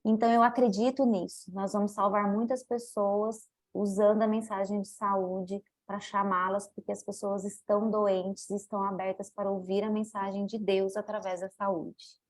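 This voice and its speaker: A woman's voice: mid-range voice, flat delivery, normal speed, hardly any pauses, a little mellow. A woman with a Brazilian Portuguese accent giving heartfelt closing words with a personal testimony. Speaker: guest speaker. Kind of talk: closing words in an online class.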